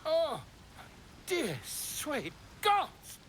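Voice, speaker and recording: Flamboyant, wildly animated pompous bard. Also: male voice, extremely high pitch, extremely slow, a little hiss or hum, exaggerated voice. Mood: incredulous, astonished. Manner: florid, verbose, theatrical, exclamatory. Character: flamboyant, pompous bard and self-styled scholar, grandly theatrical. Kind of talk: theatrical fantasy game acting.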